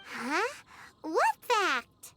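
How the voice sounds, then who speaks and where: A woman voicing a small, chirpy, curious fairy companion in a video game, with an excitable, childlike delivery. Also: very high voice, drawn-out words, over music, exaggerated voice. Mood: curious, puzzled.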